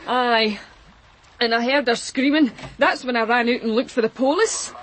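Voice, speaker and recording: An earthy office cleaner acted by a woman in a radio crime drama. She speaks bluntly and colloquially in a Glaswegian accent. The voice is on the high side, with some noise behind it. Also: normal speed, fairly bright tone, natural voice, rough recording. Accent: Glaswegian Scottish.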